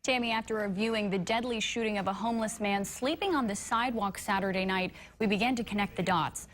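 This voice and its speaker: A woman's voice: medium pitch, quick pace, a bit bright, a little hiss or hum. A woman with an American accent delivering scripted broadcast news narration as a television reporter.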